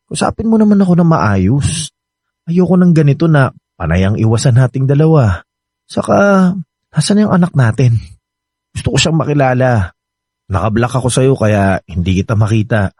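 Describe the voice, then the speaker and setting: A remorseful Filipino ex-lover in a radio drama. His mellow, husky voice pleads earnestly and insistently, recorded dry and close. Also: mid-range voice, animated, moderate pace, long pauses, no echo. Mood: pleading.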